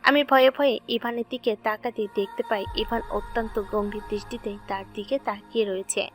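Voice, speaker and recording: Female narrator, slightly high voice, scripted dramatic storytelling over soft music. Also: fairly even intonation, a little fast.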